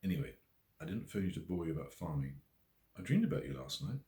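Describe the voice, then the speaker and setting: A man's voice: sits low, sing-song, quick pace, gravelly, dark tone, roomy sound, heavily acted. A man voicing an earnest, mischievous woman farmer with a haughty, ranting manner in an audiobook story. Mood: wry, grumbling.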